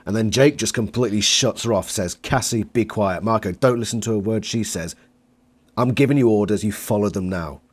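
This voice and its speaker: A man's voice: lowish voice, fairly quick, husky. A man talking casually as an interviewer and reviewer in a fan discussion.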